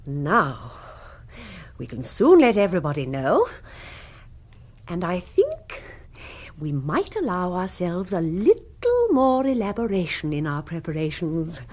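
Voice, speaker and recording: Grand upper-class English hostess: unhurried, slightly husky, self-important, theatrical radio comedy. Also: female voice, lowish voice, very animated. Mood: commanding, self-absorbed. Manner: lofty, deliberate, theatrical. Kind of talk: British radio comedy drama acting.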